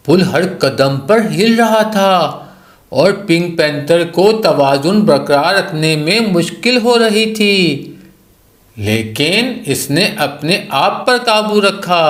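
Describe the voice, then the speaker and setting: High-pitched, slow-paced male storyteller. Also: fairly bright tone, roomy sound. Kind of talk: scripted children's story narration.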